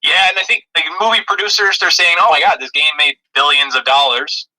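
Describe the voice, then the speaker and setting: A man's voice: fairly high pitch, fairly even intonation, a little fast, bright, forceful tone, rough recording. A man co-hosting a podcast in a casual, conversational review style. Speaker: podcast co-host. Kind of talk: casual movie review chat.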